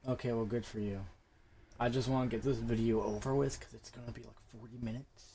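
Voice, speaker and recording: A man's voice: mid-range voice, steady tone, fairly quick, vocal fry. A man giving casual gameplay commentary in a slangy, offhand way, often in muttered asides. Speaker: gamer recording a playthrough. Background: loud video game music.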